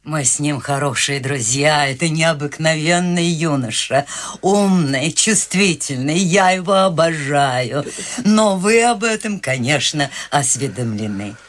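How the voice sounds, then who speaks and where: An actress playing a cheerful, eccentric eighty-year-old countess who talks in a lively, chatty and warmly insistent way in a radio play. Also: female voice, low voice, unhurried. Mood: delighted. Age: 80s (figure). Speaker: lead character.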